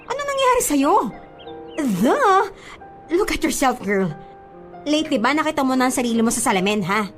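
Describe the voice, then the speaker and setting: A blunt, sassy best friend with a Filipino accent in a radio drama. She is high-pitched and swoops dramatically as she nags and scolds, exasperated and sarcastic. Soft music sits behind her. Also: big pitch swings, normal speed, pauses often. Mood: mocking, frank. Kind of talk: radio drama acting in Taglish.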